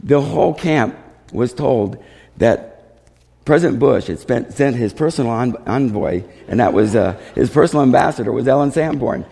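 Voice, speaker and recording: A man with a gravelly, mellow voice tells missionary stories as a testimony. He is animated in how he relays who said what. The voice rings through a reverberant hall. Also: medium pitch, moderate pace. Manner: animated, acts out dialogue. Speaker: missionary speaker. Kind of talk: testimony storytelling to a congregation.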